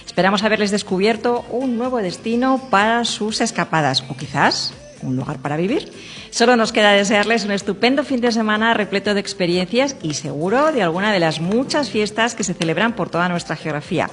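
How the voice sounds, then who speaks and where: A woman's voice: mid-range voice, expressive, a little fast, faint music behind. A woman with a Castilian accent hosting a live radio interview in an effusive, conversational way, with long reverb on her voice. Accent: Castilian Spanish. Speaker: radio host.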